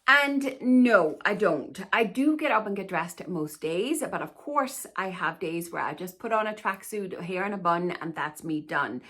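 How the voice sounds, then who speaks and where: A woman's voice: fairly low pitch, animated, unhurried, hardly any pauses, a bit bright. Chatty Danish-born woman vlogger. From Denmark. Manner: chatty and reassuring, often says "to be honest with you". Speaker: plus-size fashion stylist and YouTuber. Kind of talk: casual Q&A vlog chat.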